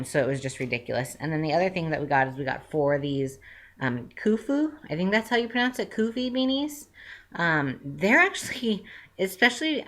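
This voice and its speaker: A woman's voice: deep voice, fairly bright tone. A woman in her late twenties giving a casual, rambling update to her viewers, with frequent "um" fillers and self-corrections. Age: 20s. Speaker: vlogger.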